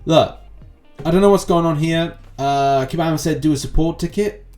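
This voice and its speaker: A man's voice: fairly high pitch, normal speed, a little mellow, faint music behind. A man giving casual, rambling gaming news commentary as a channel host, with chatty asides.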